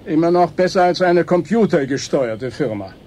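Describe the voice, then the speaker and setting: Brief: dry, terse, irritable male worker, played by an actor, mellow mid-range voice, radio drama with faint ambient noise. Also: fairly high pitch, animated, moderate pace, lightly acted. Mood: curt. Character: curt, touchy male station worker, weary of chatter. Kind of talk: German radio drama acting.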